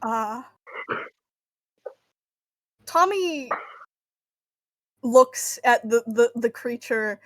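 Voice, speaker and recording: A woman with a high voice narrates her roleplay character in a slow, halting way. She improvises casually, searching for words, in a dry close recording. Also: expressive, dry recording. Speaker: player in a tabletop roleplay game.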